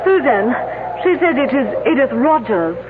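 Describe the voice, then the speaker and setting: A high, girlish student speaks through heavy noise on an old radio recording. She is excitable and earnest, in dramatic mystery style. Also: normal speed, narrow, tinny audio. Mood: shaken, earnest. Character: excitable young female archaeology student. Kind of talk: 1970s radio mystery acting.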